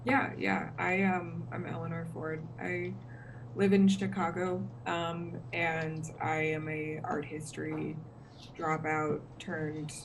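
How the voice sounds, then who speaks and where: Co-host, woman, very low and gravelly voice, slow pace, noisy call. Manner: relaxed, filler-heavy. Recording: noisy video call audio.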